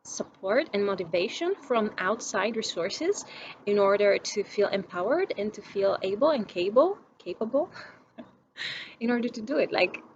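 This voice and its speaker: A woman's voice: mid-range voice, expressive, moderate pace, lo-fi sound, a little hiss or hum. A young woman from Greece, talking to camera in a reflective personal vlog, musing aloud with many restarts while she searches for her words. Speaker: vlogger.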